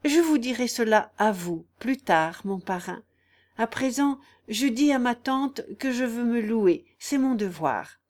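A woman voicing a humble young peasant woman in a story, speaking plainly with gentle firmness. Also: a little high, animated, measured pace, pitched above the performer's own voice. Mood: earnest resolve. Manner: plain, firm, gently resolute.

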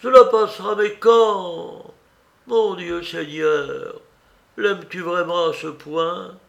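An agitated old woman, acted out by a male reader, pitched well up with a gravelly edge. She is a blunt, loving storybook aunt who scolds and fusses, repeating her words with emphasis. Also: slow. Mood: scolding, exasperated. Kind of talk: dramatised audiobook reading.

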